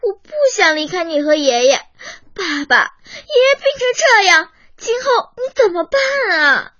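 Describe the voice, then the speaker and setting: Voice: very high voice, animated, a little slow, pushed and bright, dry recording, lo-fi sound, heavily acted. A performer playing a devoted, stubborn and sensitive nine-year-old child in a radio drama, with a plaintive manner. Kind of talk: Chinese radio drama acting.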